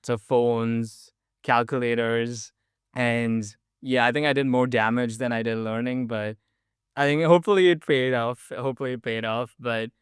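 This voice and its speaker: A man's voice: mid-range voice, fairly even intonation, normal speed, clean tone, dry recording, studio quality. A young man from India, chatting eagerly as a podcast guest and using lots of "like" and "um". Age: young adult. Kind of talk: casual interview storytelling.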